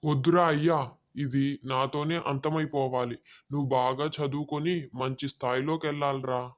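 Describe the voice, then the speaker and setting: A man's voice: medium pitch, fairly even intonation, normal speed, close to an everyday voice. A man playing a ruthless, demanding forest robber in a moral-story cartoon. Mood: domestic, firm. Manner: menacing, demanding. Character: ruthless male forest robber, gruff family man at home.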